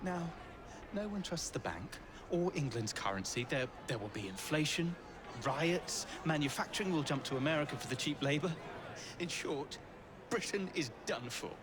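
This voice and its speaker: An actor playing a gloomy, flustered Victorian gentleman with a fretful, dramatic way of talking. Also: a little high, lively intonation, moderate pace, close to an everyday voice. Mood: agitated. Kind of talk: video game cutscene acting. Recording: clear outdoor urban background noise.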